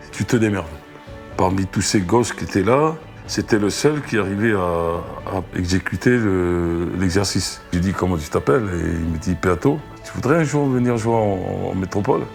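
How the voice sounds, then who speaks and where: A middle-aged man recounting personal memories in an interview, halting and repeating words as he goes. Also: low-pitched, gravelly. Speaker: rugby mentor and recruiter. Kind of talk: emotional anecdotal interview. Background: background music.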